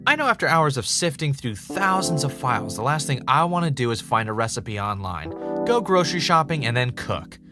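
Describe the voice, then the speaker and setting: Guy doing a peppy podcast ad read, normal pitch, music behind. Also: sing-song, fairly quick, few pauses.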